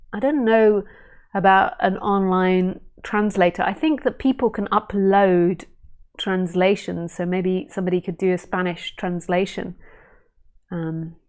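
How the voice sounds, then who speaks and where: A woman spiritual teacher in a casual live stream, talking gently and unhurriedly, musing with fillers and restarts. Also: lowish voice. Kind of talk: casual live stream talk answering viewer questions.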